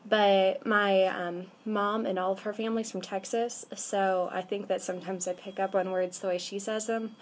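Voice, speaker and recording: A woman's voice: medium pitch, slight background noise. A woman in her twenties from the American Midwest, with a Midwestern accent, chatting casually on a webcam vlog and saying um often. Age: 20s. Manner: chatty, with frequent ums. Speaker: vlogger. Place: indoors by a window. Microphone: webcam at arm's length.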